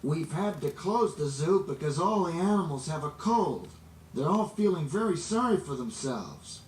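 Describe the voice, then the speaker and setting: Man voicing an emphatic, high-pitched storybook zookeeper. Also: lively intonation, normal speed, close to an everyday voice. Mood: apologetic. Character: apologetic, giggly, grateful male zookeeper. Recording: roomy, distant, noisy amateur recording.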